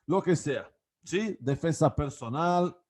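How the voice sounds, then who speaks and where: A man in his forties speaks with a Portuguese accent, his voice sitting a little high for a man. He talks like a coach at an online class, stopping often for fillers and restarts as he works out his phrasing. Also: expressive, slow, darkish tone. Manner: hesitant, many fillers and restarts. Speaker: karate coach and academy founder. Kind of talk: online class talk to camera.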